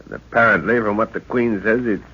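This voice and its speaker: An actor playing a thoughtful middle-aged professor who leads an expedition, speaking in a measured, hesitant way in a 1930s radio drama. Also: male voice, on the low side, steady tone, sharp tone, breathy edge, full character voice. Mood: calm, resolute. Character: scholarly middle-aged male professor, calm leader. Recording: dull lo-fi old radio recording.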